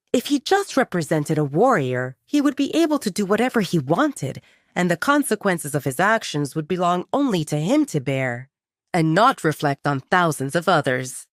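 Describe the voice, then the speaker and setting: Woman, scripted story narration, slightly low pitch, animated melody, very dry sound. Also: normal speed, no echo. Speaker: audio drama narrator.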